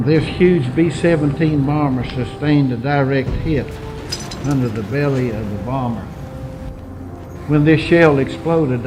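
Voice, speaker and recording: Elderly veteran reminiscing over music. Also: male voice, medium pitch, rough recording. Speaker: war veteran. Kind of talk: personal recollection. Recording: music bed under the voice.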